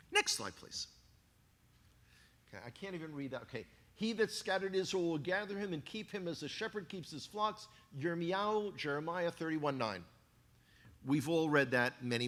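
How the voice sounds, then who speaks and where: A man with a Bronx New York accent giving a church talk, animated and cracking jokes to the congregation. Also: on the high side. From New York, USA. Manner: animated, joking asides to the congregation. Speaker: guest speaker, former tour guide. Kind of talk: sermon-style talk. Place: church hall. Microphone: handheld microphone.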